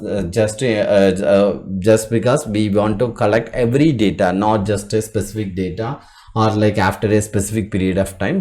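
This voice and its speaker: A man presenting an unscripted software walkthrough, speaking haltingly and often repeating words and phrases as he goes. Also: fairly low pitch. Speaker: tutorial presenter.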